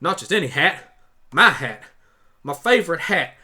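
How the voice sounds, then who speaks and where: A man's voice: on the high side, big pitch swings, moderate pace, pushed and bright, slightly rough, voice pushed up, full character voice. A man voicing a stubborn, protective country mare pony with a folksy Southern US drawl, in an amateur audio drama. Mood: upset, insistent. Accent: Southern US country drawl, put on. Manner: folksy, blunt, pleading.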